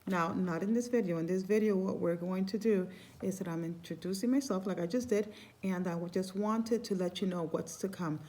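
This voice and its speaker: A woman's voice: medium pitch, fairly quick, dark tone. A middle-aged woman from Cuba who is a Santeria priestess, talking in a casual, rambling way on her vlog and often restarting her phrases. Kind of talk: casual spiritual vlog talk.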